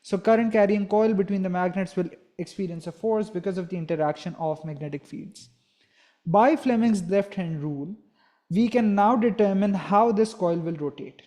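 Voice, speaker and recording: Young man, high-pitched, mellow, step-by-step teacher, checks in as he explains. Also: moderate pace. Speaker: physics teacher.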